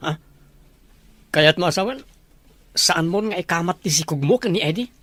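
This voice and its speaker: A woman's voice: on the low side, lively intonation, moderate pace, fairly bright tone, no echo. A woman playing a frank, questioning friend and confidant in an Ilocano audio drama. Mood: curious, frank.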